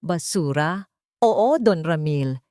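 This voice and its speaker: A woman's voice: low-pitched, animated, slow pace, dark tone, dry recording, studio quality. A woman voicing a wronged, defiant young heiress with firm, emotionally charged declarations in an audio drama. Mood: sharp, defiant.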